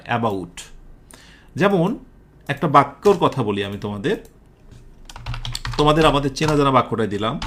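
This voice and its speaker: A Bangladeshi man teaches grammar in a live exam-prep class. His voice sits a little high and moves in very lively swings of pitch. He explains step by step in a didactic way, often putting questions to his students.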